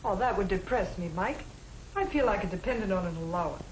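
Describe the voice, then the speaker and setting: An actress playing a spirited young American working wife who coaxes and argues, in the style of a 1950 educational film. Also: female voice, mid-range voice, brisk, natural voice. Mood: pleading. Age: young adult. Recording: echoey, faintly noisy 1950 film soundtrack.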